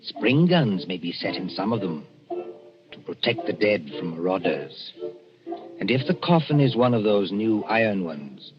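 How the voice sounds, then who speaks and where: An actor playing an ominous, poetic narrator of a macabre tale, hushed and dramatic in 1940s radio style. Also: male voice, lowish voice, sing-song, unhurried. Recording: muffled 1940s radio broadcast, loud music.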